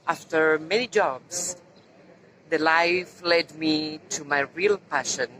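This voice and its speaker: Tour guide: Argentine Spanish accent, woman, unhurried, explanatory, outdoor street noise. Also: deep voice, low-quality recording. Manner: slow, explanatory, points out sights. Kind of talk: virtual walking tour. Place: outdoors on city streets and a tram. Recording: loud street and traffic noise.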